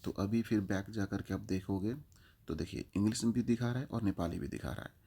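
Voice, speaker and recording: A man's voice: fairly low pitch, a little fast, mellow, slightly rough. A man giving an informal step-by-step app walkthrough, explaining with examples and repeating his instructions. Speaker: app tutorial presenter.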